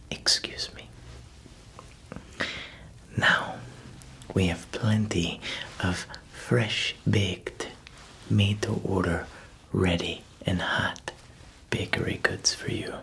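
A man's voice: low voice, fairly even intonation, gravelly, heavily acted, poor audio. A man doing a whispering, chuckling baker. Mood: playful. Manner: whispered, slow, with soft chuckles; fumbles for words as if foreign. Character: chuckling male baker, proud of his goods. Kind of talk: ASMR roleplay.

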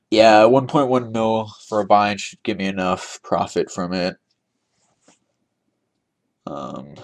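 A young man with a lowish voice talks over his screen as he hosts a trivia giveaway. He rambles and thinks aloud, with easy laughs between thoughts. The sound is close and plain, from a desk microphone at his computer. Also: a little mellow. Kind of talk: casual gameplay commentary.

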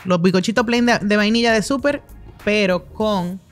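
A Dominican chef in her thirties, telling stories as a guest in a podcast studio, talking with lively gestures and quick asides. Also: mid-range voice, faint music behind. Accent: Dominican Spanish. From Dominican Republic. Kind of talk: casual interview storytelling. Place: podcast studio with brick walls and plants. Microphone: studio mic on a boom arm.

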